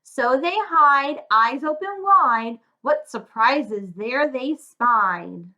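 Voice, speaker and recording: A woman reads a children's tale in a high, bright voice. She takes it slowly and with a sing-song lilt, speaking directly to young listeners like a tutor. The recording is a little muffled. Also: animated. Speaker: children's story reader and tutor. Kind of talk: read-aloud of a rhyming children's fairy tale.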